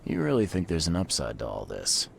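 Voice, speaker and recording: A man's voice: extremely low pitch, wildly swooping pitch, fairly quick, vocal fry, slight background noise, heavily acted. A man playing a terse, world-weary private detective in a video game, dry and guarded in manner. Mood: skeptical. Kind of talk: video game cutscene acting.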